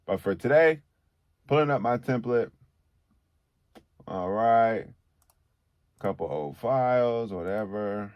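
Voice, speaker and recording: A man with a deep, bassy voice teaching recording in a relaxed, conversational way, often checking in with "okay?". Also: slow, long pauses, no room sound, lo-fi sound. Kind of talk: casual tutorial.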